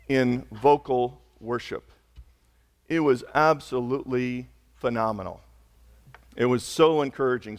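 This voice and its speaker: Hoarse male pastor preaching in a hall. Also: medium pitch. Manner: conversational, builds to emphatic slow peaks. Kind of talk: sermon. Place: church sanctuary.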